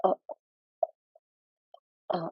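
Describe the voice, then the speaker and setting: Young adult female, student posing a question on a web call. Stutter, slow halting delivery, candid, dull dry sound. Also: no room sound. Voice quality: stutter with blocks and repeated sounds. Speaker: pharmacy student asking a panel a question. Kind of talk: earnest question in a group discussion. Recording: dull lo-fi online call audio.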